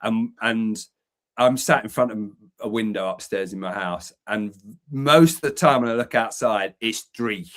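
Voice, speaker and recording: A man's voice: fairly low pitch, a little slow. An English man co-hosting a podcast from an upstairs room at home, earnest and emphatic, swearing to drive points home. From England. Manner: emphatic, swears for emphasis, repeats words when pressing a point. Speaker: podcast co-host, men's community founder. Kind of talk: earnest conversational podcast talk.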